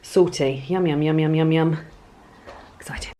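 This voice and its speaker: A woman's voice: sits low, steady tone, normal speed, dark tone. A woman chatting casually in a home vlog diary about her plans and reading, rambling and correcting herself as she goes. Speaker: book vlogger.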